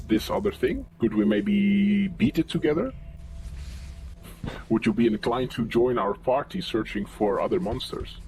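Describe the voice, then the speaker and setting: A man's voice: medium pitch, darkish tone, slight background noise, poor audio. A man playing a tabletop roleplaying game, casually posing polite questions in character.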